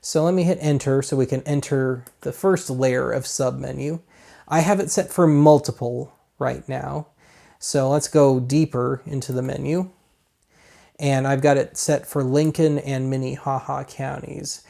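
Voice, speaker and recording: A man's voice: mid-range voice, fairly even intonation, a little slow, vocal fry, warm tone. An American man hosting a casual gadget walkthrough in a rambling, colloquial, self-deprecating way. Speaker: host of a gadget review show.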